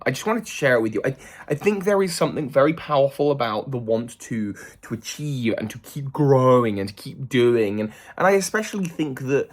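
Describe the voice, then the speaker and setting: Man in his thirties, reflective vlog monologue, mid-pitched voice, hesitant, full of fillers and restarts. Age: 30s. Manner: thoughtful and earnest. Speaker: vlogger.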